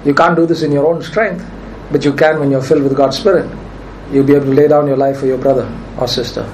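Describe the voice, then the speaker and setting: Male pastor, high voice, strained from preaching, emphatic, faint background hiss. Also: steady tone, fast talker, a little mellow, low-quality recording. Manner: emphatic preaching, repeats key phrases. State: nearly lost his voice. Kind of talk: sermon.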